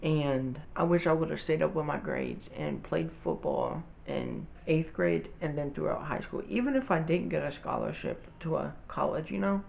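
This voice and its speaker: A man's voice: on the high side, gravelly, a little mellow, dull audio. A young man telling a casual storytime monologue to his viewers, rambling and informal, full of restarts and fillers. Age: young adult. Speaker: vlogger.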